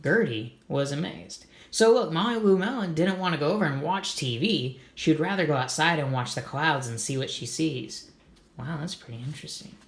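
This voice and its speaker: A man's voice: fairly high pitch, normal speed, a bit bright. Man reading to kids in an echoey room. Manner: simple, encouraging explanations addressed to children. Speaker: read-aloud host for children. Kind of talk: storybook reading with informal commentary.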